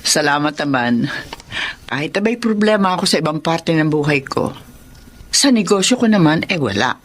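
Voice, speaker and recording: Expressive middle-aged Filipino mother. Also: low-pitched, normal speed. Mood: friendly, weary. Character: troubled, kindly store owner and mother, middle-aged. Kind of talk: Filipino radio drama acting. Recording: faint music behind.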